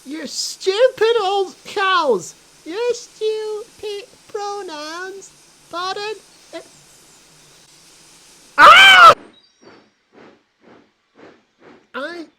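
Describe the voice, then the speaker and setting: A woman voicing an earnest, excitable male steam engine in a children's story, speaking emphatically. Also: extremely high pitch, a little hiss or hum, pitched above the performer's own voice, exaggerated voice, rough recording. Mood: exasperated.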